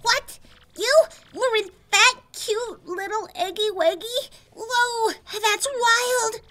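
A woman voicing a perky, chatty young mushroom-creature sidekick in a fan-dubbed video game, slangy and excitable. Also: very high-pitched, takes it slowly, full character voice.

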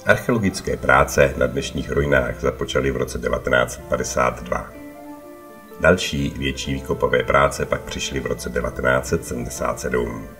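Very deep male travelogue narrator over music. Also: steady tone, fairly bright tone.

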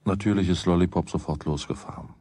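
A man's voice: low-pitched, fairly even intonation, quick pace, warm tone, no echo, studio quality. A man narrating a children's radio play as its storyteller, in a scripted storytelling style. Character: storyteller of a boy's everyday adventures.

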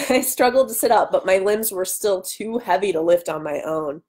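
A woman's voice: mid-range voice, animated, moderate pace, darkish tone. A woman in her thirties hosting a live book reading with casual chat, her voice nasal from a cold. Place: small room at home, indoors. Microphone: webcam at arm's length.

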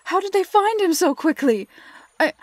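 A woman playing a tender, anguished young woman in a fantasy audio roleplay, speaking in a hushed, hesitant, halting way. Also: extremely high pitch, expressive, no echo. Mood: alarmed confusion.

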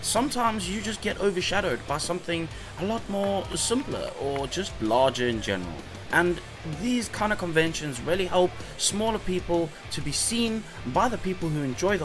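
A man in his thirties narrating a vlog in a relaxed, rambling, easygoing way. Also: high-pitched. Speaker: vlogger and hobby shop owner. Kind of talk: casual vlog voice-over. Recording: voice-over with music underneath. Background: background music.

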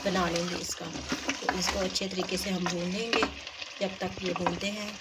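A woman's voice: on the low side, little pitch movement, moderate pace. A woman vlogger talking viewers through a recipe step by step in her home kitchen, filming the pot on her phone. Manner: homely step-by-step narration while cooking. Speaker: cooking vlogger. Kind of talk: home cooking demonstration. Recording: loud kitchen noise. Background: frying, sizzling and stirring sounds.

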